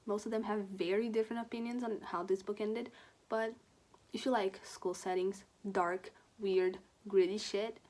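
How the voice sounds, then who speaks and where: A young woman from Brazil, a native Portuguese speaker with a Brazilian accent, giving a candid, animated book review chat with casual swearing. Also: mid-range voice, normal speed. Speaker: book vlogger.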